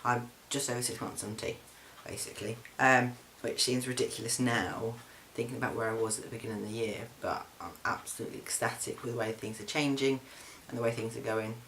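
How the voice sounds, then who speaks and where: Young man, monotone, mid pitch, chatty and halting with ums, home video diary, faint hiss. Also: rough recording. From England. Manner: chatty, frequent ums and restarts. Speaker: vlogger. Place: flat, indoors.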